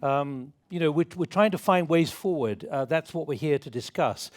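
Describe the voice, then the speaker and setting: A man moderating a panel debate, prodding speakers with brisk questions. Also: fairly high pitch, animated.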